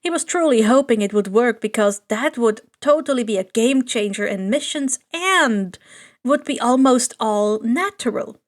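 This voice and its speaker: A woman with a very high voice narrates fiction. She reads scripted prose aloud as an amateur audiobook narrator. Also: animated, moderate pace. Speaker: podfic narrator.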